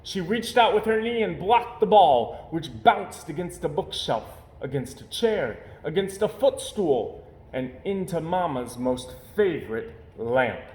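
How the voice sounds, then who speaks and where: A man narrates a children's storybook with lively, swooping intonation. His voice is fairly high for a man. The room adds noticeable echo, with faint noise underneath. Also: unhurried. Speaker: storybook reader. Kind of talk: expressive children's read-aloud narration.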